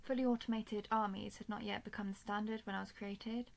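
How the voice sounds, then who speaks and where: A woman's voice: medium pitch, steady tone, vocal fry, lightly acted. A woman voicing a stoic, protective robot soldier in a scripted audio roleplay, speaking formally and without emotion to the listener. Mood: calm, dutiful. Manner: formal, measured, emotionless delivery.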